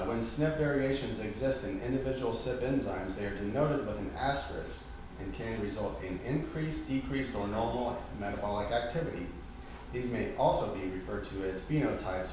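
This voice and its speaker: A man giving a scripted, formal lesson as an instructor, stumbling now and then over technical terms. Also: mid-range voice. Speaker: instructor leading a staged class. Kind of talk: scripted lesson presentation, reading aloud. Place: reverberant indoor room, distant microphone. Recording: very echoey room, far mic, loud background hiss.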